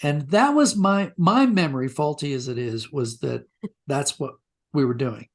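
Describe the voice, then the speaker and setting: A man's voice: a little high, expressive, moderate pace. An older man with an American accent telling anecdotes conversationally as an interview guest, reflective and self-deprecating.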